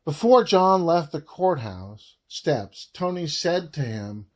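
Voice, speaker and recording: A man reading a scripted audiobook narration in a deliberate way, phrase by phrase with frequent pauses. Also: fairly high pitch, sing-song. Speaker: author reading own audiobook.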